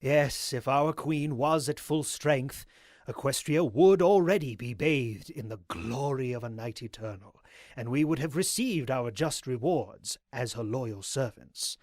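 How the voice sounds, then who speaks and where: A man's voice: medium pitch, measured pace, darkish tone, dry recording, clean studio sound, close to an everyday voice. A man playing a zealous, courteous pony cult leader in an audio drama, speaking in a formal, grandiose way. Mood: reverent, exultant.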